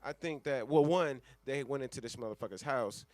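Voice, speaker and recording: A man's voice: medium pitch, a little fast, a little mellow, dry recording. A man co-hosting a radio show in casual, speculative chat, talking in a rambling, profane and slangy way.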